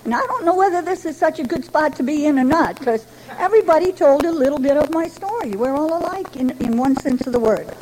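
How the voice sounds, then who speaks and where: An older woman with a high voice shares her life story with a meeting, folksy and chatty with a light touch of humor. A faint hum of ambience sits behind her. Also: lively intonation, fast, darkish tone, poor audio. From Rhode Island, New England. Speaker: Al-Anon member giving a talk. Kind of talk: personal recovery story told to a meeting. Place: speaking to a gathering.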